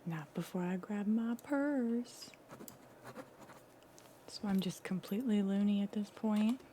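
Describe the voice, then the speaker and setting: A woman's voice: mid-range voice, takes it slowly, dark tone, slight background noise. A woman giving casual, joking, folksy commentary as she plays through a game. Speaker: game streamer.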